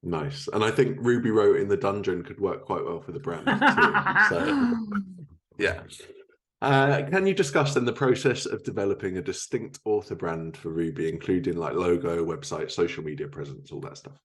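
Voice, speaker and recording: Low-voiced man interviewing, lively intonation. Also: normal speed. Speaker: podcast host, interviewer. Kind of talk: conversational interviewing.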